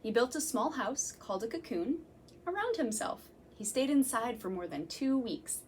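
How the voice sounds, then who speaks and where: A young woman, a children's librarian, reading a picture book aloud to children in a gentle, slow, sing-song way and counting along. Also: a little high, very animated, slight background noise. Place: library room.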